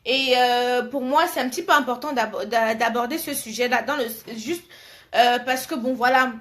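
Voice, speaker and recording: A woman's voice: fairly high pitch, moderate pace, fairly bright tone. A woman hosting a live stream, chatting casually and familiarly with her viewers and often repeating her words.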